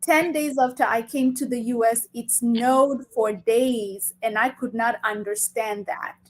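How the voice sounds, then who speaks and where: Kenyan woman, slightly high voice, explaining emphatically. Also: fairly even intonation, unhurried, poor audio. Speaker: interview guest, coach. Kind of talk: conversational interview answers.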